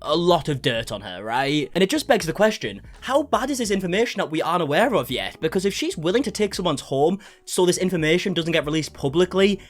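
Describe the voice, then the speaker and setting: A man's voice: on the high side, big pitch swings, brisk, few pauses, faint music behind. Chatty exasperated young man commenting. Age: 20s. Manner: chatty, exasperated, swears casually. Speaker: commentary YouTuber. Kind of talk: casual reaction commentary.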